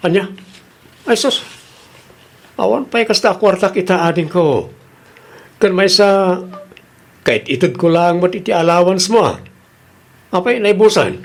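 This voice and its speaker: An actor with a Filipino accent playing an affectionate but insecure husband who broods about money, in an Ilocano radio drama. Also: male voice, high-pitched, lively intonation, a little slow, gravelly, pauses often, exaggerated voice. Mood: exasperated.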